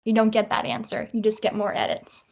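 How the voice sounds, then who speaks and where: A woman with a fairly high voice talks casually as a writer being interviewed. She is self-deprecating and voices her own thoughts in quoted asides. The recording is slightly dull and lo-fi. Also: little pitch movement, a little fast, creaky. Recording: dull, lo-fi audio.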